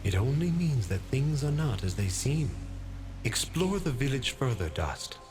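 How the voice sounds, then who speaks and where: Mystical mentor spirit, medium pitch, calm and cryptic, loud game music behind. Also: male voice. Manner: measured, enigmatic. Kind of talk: video game character acting.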